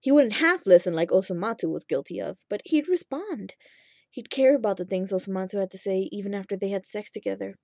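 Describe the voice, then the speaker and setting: A woman reading fan fiction aloud as an expressive scripted narration. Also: medium pitch, a little fast, gravelly, dry recording, studio quality.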